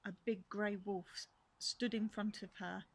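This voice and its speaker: A woman's voice: medium pitch, steady tone, unhurried. Older woman reading a children's storybook. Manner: gentle asides to young listeners. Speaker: storyteller grandmother. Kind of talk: children's storybook read-aloud. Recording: faint background noise.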